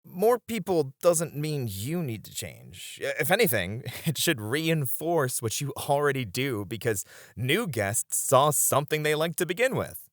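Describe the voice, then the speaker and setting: A man playing a flirty, supportive club host in an ASMR roleplay, speaking in a gentle, reassuring way. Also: mid-range voice, sing-song, a little slow, hardly any pauses, no room sound, studio quality. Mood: earnest reassurance. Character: flirty, supportive male club host comforting his friends.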